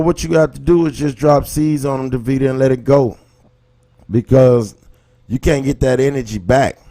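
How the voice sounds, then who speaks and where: Male livestream host, American accent, medium pitch; impassioned, colloquial, emphatic street-level commentary with rhetorical questions. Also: mellow. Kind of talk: impassioned casual commentary, talking to live viewers.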